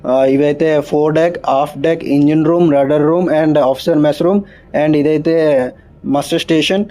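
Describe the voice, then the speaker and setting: A man's voice: a little high, fairly even intonation, moderate pace, dark tone. A man gives a casual step-by-step vlog explanation, mixing in English terms. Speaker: seafarer vlogger. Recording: clear ambient background noise.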